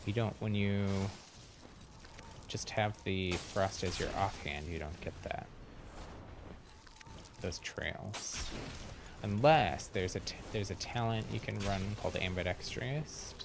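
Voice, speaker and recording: A man's voice: sits low, takes it slowly, vocal fry, long pauses, background noise. A man giving casual live gaming commentary as a streamer, chatting in an easygoing way and thinking aloud. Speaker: game streamer.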